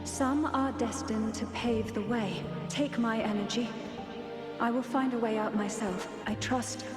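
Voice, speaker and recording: Woman playing selfless calm guide lady in a game, highish voice, poetic, echoey. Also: unhurried, close to an everyday voice. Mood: selfless resolve. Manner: poetic, composed phrasing. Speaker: game character voice. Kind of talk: dramatic game dialogue. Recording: echoey reverberant sound with music.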